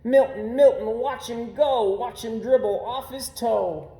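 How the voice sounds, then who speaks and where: A man voicing a big, swaggering bully bear cub for a children's storybook, mocking in a drawn-out singsong. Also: extremely high pitch, warm tone, pitched above the performer's own voice. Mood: mocking chant. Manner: jeering singsong, drawn-out words. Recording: roomy, echoing home recording.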